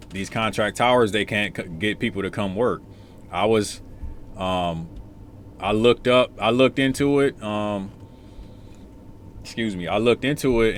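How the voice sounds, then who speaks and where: A man's voice: on the low side, a bit bright. An American man giving casual commentary, repeating his phrases for emphasis. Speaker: commentator, former air traffic controller. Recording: noticeable background noise.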